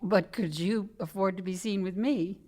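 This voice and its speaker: A woman acting a naive young gallery assistant in a comedic radio drama, halting and flustered in manner. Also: medium pitch, mellow, close to an everyday voice. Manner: flustered, halting, wide-eyed.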